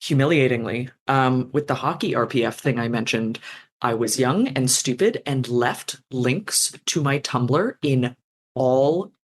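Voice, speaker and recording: Podcast guest, female, very low voice; chatty, self-deprecating author. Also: unhurried, slight background noise. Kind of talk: casual podcast interview.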